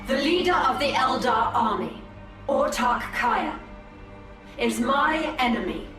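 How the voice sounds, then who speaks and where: An actress playing a proud, commanding space-elf seer in a video game, cool and formal. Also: female voice, a little high, animated, bright, forceful tone, exaggerated voice. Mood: proud, persuasive. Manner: deliberate, formal, imperious. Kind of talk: video game character acting. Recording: echoey, distant, music behind.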